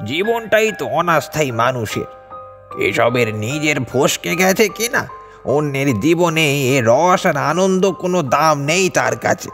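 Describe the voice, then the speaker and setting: Man as a slow, complaining old aunt. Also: on the high side, animated, fairly bright tone, long reverb tail, soft background music. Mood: aggrieved. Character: grumbling old aunt, resentful, fatalistic, matchmaking mother. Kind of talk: dramatised audio story acting.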